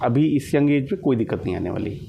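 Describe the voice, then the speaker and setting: A man's voice: medium pitch, expressive, quick pace, creaky, lo-fi sound, slight background noise. A man, a doctor being interviewed, explaining hesitantly and mixing in English terms. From India.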